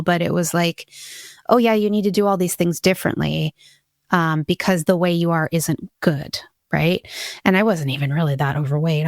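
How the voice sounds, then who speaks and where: A woman in her forties tells her story candidly, pausing and restarting as she reflects. Her voice sits on the lower side. The recording is dry and close, with no room sound. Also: no room sound. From Hawaii. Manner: reflective, many restarts and "you know". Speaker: podcast guest.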